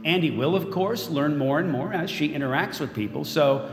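A man's voice: fairly high pitch, moderate pace, lightly acted. An actor playing a smug, controlling tech mogul in an audio drama, giving a polished, self-satisfied speech as he unveils his android. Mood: smug, cruel. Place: large event room as staged, crowd behind. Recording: echoey hall with crowd behind.

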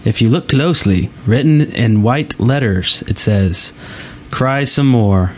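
A man's voice: medium pitch, expressive, takes it slowly. Casual male podcaster, faint background noise. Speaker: podcast co-host reading listener mail. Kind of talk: casual gaming podcast chat.

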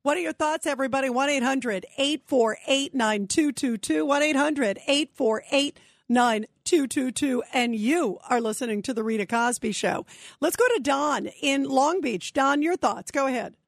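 A woman's voice: high-pitched, moderate pace, creaky, dry recording, clean studio sound. A woman hosting a radio talk show, giving opinionated commentary and talking straight to her listeners. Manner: opinionated, conversational, addresses listeners directly.

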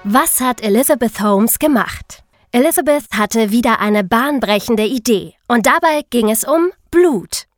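A woman's voice: a little high, animated, measured pace, faint music behind. A young woman co-hosting a podcast, explaining things to young listeners in a casual, youthful way. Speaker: podcast host.

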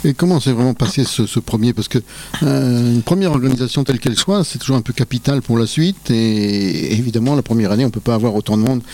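A man's voice: mid-range voice, fast talker, a little mellow. A man interviewing a guest for local radio in a conversational style.

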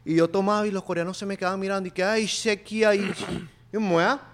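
A young man chatting as a podcast guest, easygoing and slangy, often trailing into a low mutter. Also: steady tone, a little fast. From Korea. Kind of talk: casual chat.

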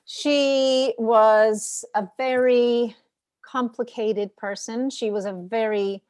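A woman in her thirties from New York, raised speaking Ukrainian first, answers interview questions about her heritage. She talks in a slightly high, easy voice full of fillers and restarts. The sound is dry and close, with no room echo. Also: steady tone, takes it slowly. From New York, USA. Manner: chatty, warm storytelling with fillers. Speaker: author guest.

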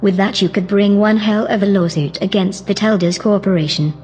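A woman playing a shrewd, self-assured artificial intelligence in a sci-fi audio drama, with a husky voice and a cool, dry, matter-of-fact delivery. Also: medium pitch, steady tone, brisk, a little mellow, slight background noise, lightly acted. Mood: curious, skeptical. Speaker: supporting actor in an audio drama.

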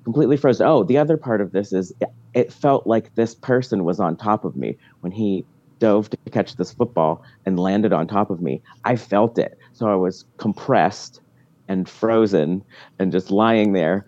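A woman calling in by phone to tell a personal story, giggly and emphatic with dramatic pauses. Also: rumbling low, dark tone. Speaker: podcast caller. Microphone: phone call. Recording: dull, dry phone-call sound.

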